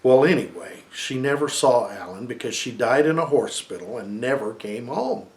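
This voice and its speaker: A man's voice: medium pitch, animated, moderate pace, a little mellow, echoey room, natural voice. A man reading a storybook voices a challenging, know-it-all young boy with a pressing, accusing manner. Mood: doubtful.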